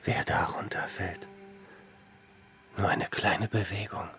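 Slow, deep-voiced weary hermit. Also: male voice, in a whisper, soft background music, heavily acted. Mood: weary, despairing. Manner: halting, brooding, hushed. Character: weary, despairing religious hermit, a man. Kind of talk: German radio drama acting.

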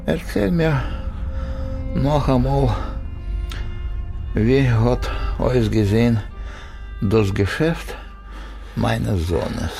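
A dying old grandpa, yiddish accent, super slow and croaky, tired and tender, radio play w music. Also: male voice, mid-range voice, warm tone. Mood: weak, pleading. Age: older. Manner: halting, slow phrases with murmured assents. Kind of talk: modern German radio drama acting.

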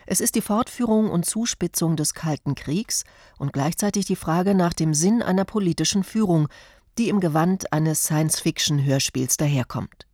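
Mellow, low-voiced woman radio presenter. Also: no echo, studio quality. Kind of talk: scripted introduction.

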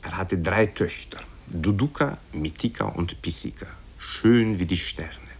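Male storyteller as old boyar uncle: deep, rough-edged, wistful, sententious, rambling, for vintage radio tales. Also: animated, unhurried, exaggerated voice. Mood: wistful, tender.

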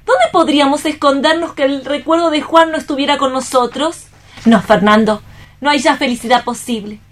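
An actress playing an anguished, remorseful young woman in a radio theatre reading, earnest and melodramatic, with deliberate phrasing. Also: high-pitched, fairly even intonation, natural voice. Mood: resolute, remorseful.

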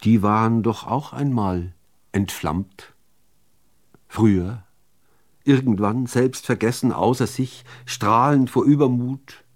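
A man reciting literary poetry in a measured, deliberate manner with dramatic pauses between phrases. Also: medium pitch. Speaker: reader of poetry.

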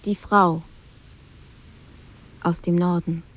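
An actress playing a solemn reader of chapter titles and verse in a radio drama, reciting deliberately. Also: female voice, fairly low pitch, level tone, a bit bright.

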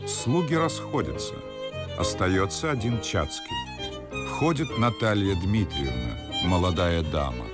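A man narrating stage directions for a classical radio play in a measured, scripted style. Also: deep voice, huge pitch swings, exaggerated voice. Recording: violin music behind.

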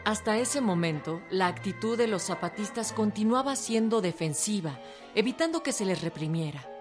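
A woman reading scripted historical narration for a radio drama in a formal, measured way. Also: mid-range voice, lively intonation. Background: music.

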